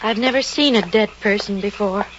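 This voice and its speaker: A woman's voice: mid-range voice, a little fast, natural voice. An actress playing a timid, shaken woman, anxious, faltering and pleading, in an old radio drama. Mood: distressed. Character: timid, shaken, fretful female friend. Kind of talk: 1940s-50s radio drama acting. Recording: muffled, noisy old radio recording.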